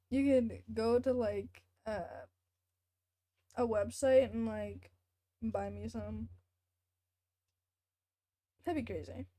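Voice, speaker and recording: A woman's voice: a little high, fairly even intonation, very slow, pauses often, mellow. A young woman chatting casually and hesitantly as she plays a game on a livestream, rambling a little. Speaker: game streamer. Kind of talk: casual livestream chat while gaming. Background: faint game music.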